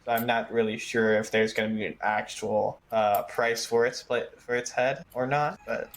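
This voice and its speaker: A man with an average-pitched voice takes part in a tabletop roleplaying game as a player. He improvises casually, halting and hesitant as he feels out each action. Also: fairly even intonation.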